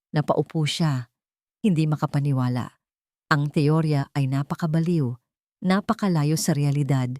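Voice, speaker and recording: A woman narrating a scripted dramatic story in measured phrases with emphatic pauses. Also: deep voice, mellow, no echo, clean studio sound.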